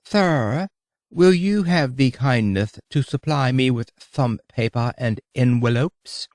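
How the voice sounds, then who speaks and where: A man's voice: medium pitch, takes it slowly, darkish tone, no echo, clean studio sound, lightly acted. A man voicing a pompous, foppish young millionaire with a lisp and an affected, haughty manner, in an audiobook. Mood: affected, prissy. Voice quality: lisping. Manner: affected mock-English, haughty and drawling. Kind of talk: audiobook character voice in a humorous oratory.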